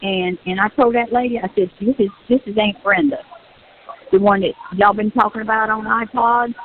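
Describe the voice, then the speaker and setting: A woman's voice: medium pitch, fairly even intonation, moderate pace. An older woman with a Southern US accent, venting on a personal phone call and insistently repeating phrases for emphasis. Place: recorded prison phone call. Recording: tinny phone line with hiss.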